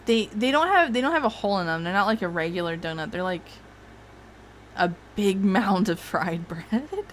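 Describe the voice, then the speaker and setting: A woman's voice: medium pitch, expressive, moderate pace, slight background noise. A woman hosting a casual livestream, rambling and swearing freely, with frequent laughs. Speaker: streamer host.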